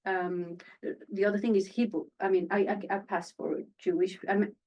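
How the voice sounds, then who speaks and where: A woman from France with a French accent, an actress telling stories in a podcast interview, chatty and animated with many restarts. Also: mid-range voice, moderate pace, muffled recording. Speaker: actress guest.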